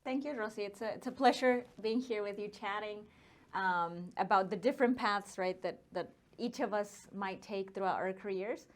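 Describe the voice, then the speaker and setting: A woman's voice: medium pitch, moderate pace. A woman in her thirties from northern Mexico, with a Mexican accent, talking casually as an interview guest with frequent fillers. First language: Spanish. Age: 30s. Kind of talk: casual conversational storytelling.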